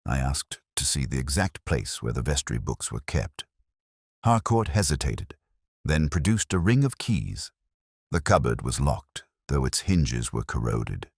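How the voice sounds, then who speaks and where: A man narrating a scripted first-person detective story in a measured, reflective, literary manner. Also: extremely low pitch, a little mellow, husky, dry recording, studio quality.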